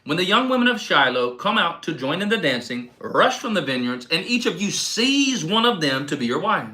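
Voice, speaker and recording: A man reading scripture aloud in an expressive, declamatory way, giving dramatic weight to quoted speech. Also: high-pitched, moderate pace. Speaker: reader.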